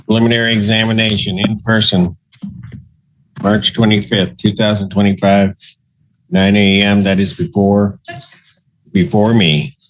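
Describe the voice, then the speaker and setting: A man's voice: lowish voice, flat delivery, a little slow, pauses often. A man speaking as a judge, giving formal rulings in a terse, authoritative manner. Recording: muffled audio with faint noise.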